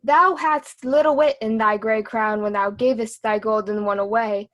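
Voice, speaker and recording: A woman's voice: a little high, steady tone, moderate pace, natural voice. A woman playing a witty, cheeky court jester in a Shakespearean reading, with a mocking, sing-song delivery.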